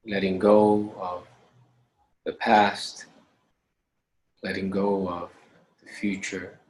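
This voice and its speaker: A man leading a guided meditation as instructor, speaking calmly in short measured phrases with long pauses. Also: low voice, a little hiss or hum, poor audio.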